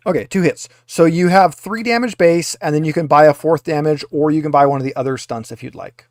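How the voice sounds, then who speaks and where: A man's voice: on the high side, a little fast, dry recording, clean studio sound. A man working as game master, casually explaining rules and results in a tabletop roleplaying game.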